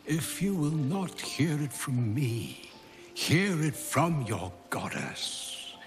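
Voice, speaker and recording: A man's voice: fairly high pitch, big pitch swings, takes it slowly, soft background music. A man playing a wise, grandfatherly old wizard in a fantasy game, with theatrical, pompous delivery and ornate phrasing. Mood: solemn. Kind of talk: fantasy video game acting.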